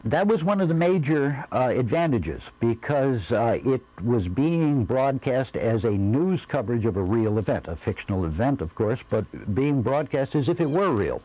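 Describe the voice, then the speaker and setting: Male director, sixties, soft-edged mellow tone, mid pitch, explanatory interview. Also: big pitch swings, measured pace, poor audio. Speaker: radio drama director, interview guest. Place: indoor seated interview.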